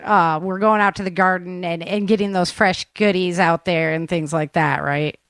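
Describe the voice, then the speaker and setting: A woman's voice: on the low side, steady tone, normal speed, a bit bright, no room sound, studio quality. A woman hosting a casual interview, chatting in an encouraging, affirming way with her guest. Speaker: podcast host interviewing a guest.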